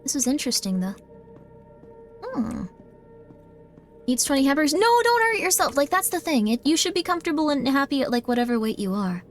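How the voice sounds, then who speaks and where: A woman's voice: sits high, very animated, fairly quick, pauses often, faint music behind. A woman live-streaming a game, chatting in a bubbly way and often thanking her viewers. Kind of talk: casual live-stream chat while gaming.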